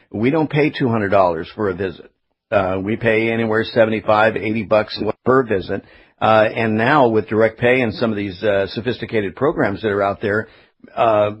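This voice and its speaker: A middle-aged man hosting live talk radio, speaking in a halting, opinionated, conversational way. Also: fairly low pitch, slightly rough. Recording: dull, lo-fi radio broadcast audio.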